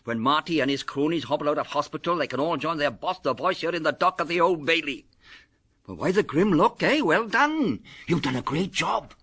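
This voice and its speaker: Actor as a husky, bright police inspector. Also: male voice, a little high, lively intonation, a little fast, full character voice. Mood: relieved, hearty. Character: hearty, approving male police chief inspector. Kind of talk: pulp detective radio drama.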